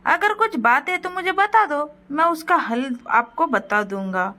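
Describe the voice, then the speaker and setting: A woman's voice: high voice, expressive, a little slow, pushed and bright, exaggerated voice. A woman voicing a helpful, concerned male village tea seller in a cartoon story, inquiring and reassuring. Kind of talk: moral story cartoon dialogue.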